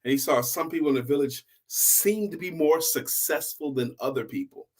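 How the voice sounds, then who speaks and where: A man hosting a story show, telling a motivational tale in a deliberate, emphatic way with repeated phrases. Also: on the high side, a little mellow. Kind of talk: motivational oral storytelling to online viewers.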